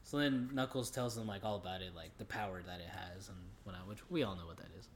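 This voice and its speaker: A man with a slightly low voice co-hosts a casual podcast recap. He talks in joking, profane slang like he's hanging out with a friend. A light layer of background noise sits under his voice. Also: fast talker. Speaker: podcast co-host. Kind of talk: casual movie recap chat.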